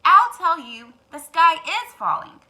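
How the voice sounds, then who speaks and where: A woman plays an anxious storybook hen with a high, bright voice. She frets over everything and exclaims dramatically, swinging widely in pitch. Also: sing-song, unhurried, full character voice. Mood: panicked, urgent. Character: anxious, excitable female hen, a chronic worrier.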